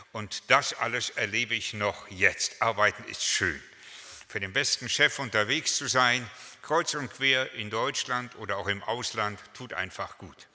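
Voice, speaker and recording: A man in his seventies preaches in an easy, anecdotal way, mixing humor into his sermon. His voice is very bright and pushes forward. The sound rings out with a long reverb from the hall. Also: mid-range voice. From Germany. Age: 70s. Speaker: pastor.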